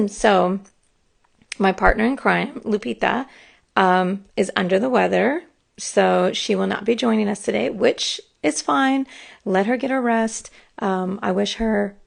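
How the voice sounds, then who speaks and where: A woman's voice: medium pitch, slow pace, gravelly. A woman coach hosting a casual live stream chat on a desktop podcast microphone, talking in a rambling way with many fillers. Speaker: host and coach.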